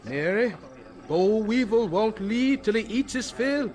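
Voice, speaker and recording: An earnest professor in a radio drama. His voice is very high for a man and swoops widely as he makes his case. The delivery is slow, deliberate and fervent. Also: lively intonation, darkish tone. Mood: emphatic, insistent. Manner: emphatic, deliberate, pleading. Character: earnest, fervent agricultural professor urging farmers on. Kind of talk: old radio drama acting.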